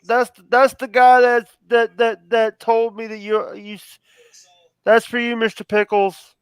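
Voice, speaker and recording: Male co-host, high and smooth, dry close sound, halting, stammering, throat getting sore. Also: little pitch movement, no room sound. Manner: halting, stammering, starts sentences over. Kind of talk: casual livestream chat.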